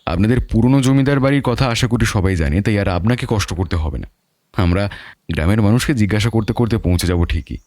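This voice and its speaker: An actor playing a calm, commanding detective and mentor in an audio story, speaking in a measured, authoritative way. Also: male voice, on the low side, no echo, clean studio sound, close to an everyday voice. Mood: assured, probing.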